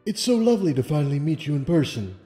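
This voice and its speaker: Guy doing a regal lady unicorn princess, soft mellow tone, calm n gracious, big reverb, storybook. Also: on the high side, voice pushed up. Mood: serene. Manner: measured, gracious, authoritative. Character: serene, regal, benevolent female winged unicorn princess. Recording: long hall reverb over music.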